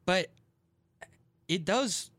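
A man's voice: sits high, lively intonation, very slow, fairly bright tone, no room sound. A man on a sports talk panel, arguing his point in casual, unscripted debate.

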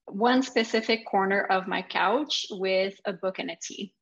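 An easygoing woman with a French Canadian accent, chatting as a podcast guest and quick to laugh. Her voice is mid-range, and the slightly muffled audio points to a remote call. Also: mid-range voice, husky. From Quebec, Canada. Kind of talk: casual interview chat.

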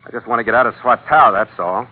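A man's voice: mid-range voice, quick pace, natural voice. A male actor playing a tough, sardonic sea captain with an American accent, terse and hardboiled in the style of 1940s radio drama. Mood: wary, sardonic. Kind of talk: 1940s radio acting, hardboiled first-person narration. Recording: tinny old radio recording, loud music.

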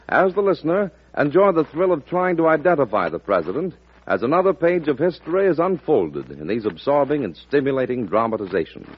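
A man's voice: medium pitch, slightly rough. An American man announcing a 1940s radio program from a script, formal and emphatic, speaking straight to the listener. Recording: muffled vintage radio broadcast recording.

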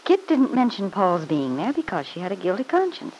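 A woman's voice: mid-range voice, huge pitch swings, a little fast, darkish tone, breathy edge, rough recording. An actress playing a smug, needling married woman in an old-time radio soap opera, with an arch, mock-sweet, taunting manner. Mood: smug, insinuating.

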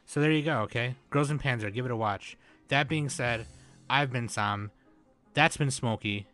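A man's voice: medium pitch, moderate pace, fairly bright tone, faint music behind. A young man hosting a podcast in a laid-back way, swearing casually and laughing often. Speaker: podcast host.

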